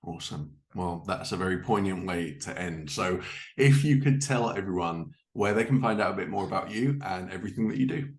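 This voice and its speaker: A man's voice: low voice, expressive, a little fast, breathy edge. A man hosting a podcast interview in casual, chatty style, recorded with a roomy echo. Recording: echoey, roomy sound.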